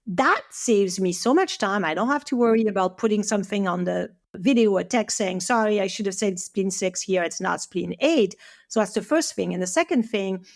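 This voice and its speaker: A woman with a French accent, English her second language, talks eagerly as a podcast guest, restarting her sentences often. Her voice has a gravelly fry to it, at a middle pitch. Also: lively intonation, normal speed. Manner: eager, many restarts and fillers. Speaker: guest, Chinese medicine teacher. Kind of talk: podcast interview.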